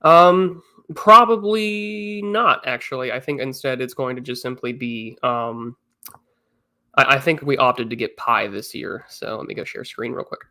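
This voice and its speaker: A man's voice: medium pitch, measured pace, pushed and bright. A man in his twenties on a casual livestream, chatting and answering viewers, still a little congested. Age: 20s. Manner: chatty, reads chat messages aloud. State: slightly congested. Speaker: streamer.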